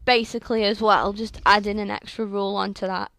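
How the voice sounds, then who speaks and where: A young woman with a sore, croaky throat, chatting through video game commentary on a headset mic in a rambling way full of fillers. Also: medium pitch, fairly even intonation, fairly quick, a bit bright, no echo. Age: young adult. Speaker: gaming channel host. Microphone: headset microphone.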